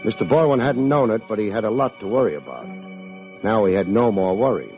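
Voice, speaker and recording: An American actor playing a wry, hard-boiled private detective in an old radio drama, with dry, needling delivery. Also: male voice, fairly low pitch, animated, a little fast, soft background music, close to an everyday voice. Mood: grim narration. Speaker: private investigator and narrator. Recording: dull vintage radio recording.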